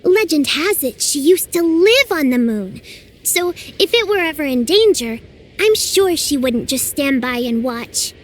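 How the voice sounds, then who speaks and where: A woman's voice: high voice, lively intonation, unhurried, slight background noise. An earnest cheerful girl. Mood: explaining. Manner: bright, patient explaining. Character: cheerful young girl apprentice, earnest and reassuring. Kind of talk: game voice acting.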